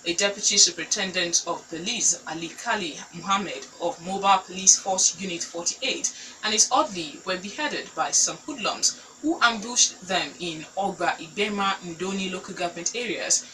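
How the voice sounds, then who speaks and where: A woman's voice: fairly low pitch, lively intonation, normal speed, bright, forceful tone, recorded from a distance, slight background noise, low-quality recording. A woman with a Nigerian accent reading scripted news as a newsreader.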